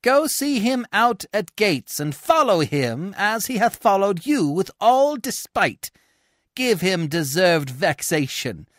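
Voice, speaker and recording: A man's voice: high-pitched, big pitch swings, slow pace, a bit bright, no room sound, clean studio sound, voice pushed up, heavily acted. A man voicing a crowd of rowdy Roman citizens who chant and jeer together. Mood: jubilant. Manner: chanting, jeering.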